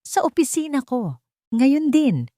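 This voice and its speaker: Woman voicing an old Filipino tycoon, cold, curt, commanding, calm menace, audiobook drama, dry sound. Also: high voice, slow pace, dry recording, voice pushed up, full character voice.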